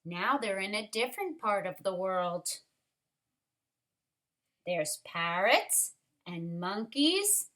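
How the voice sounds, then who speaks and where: A woman reading a picture book aloud to young children in an expressive, deliberate way, with drawn-out words and little asides to the listeners. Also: a little high. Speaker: storytime reader, teacher.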